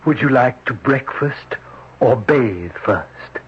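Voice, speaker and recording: A slow, formal otherworldly servant. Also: male voice, mid-range voice, lively intonation, husky, heavily acted. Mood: sinister calm. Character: otherworldly male servant granting wishes, calm. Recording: dull old radio broadcast.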